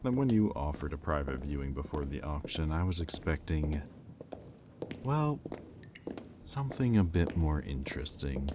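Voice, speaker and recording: A man playing a haughty, sardonic demon bidder in an audio roleplay, speaking with condescension and deliberate pauses. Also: very deep voice, sing-song, background noise. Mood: bored disdain.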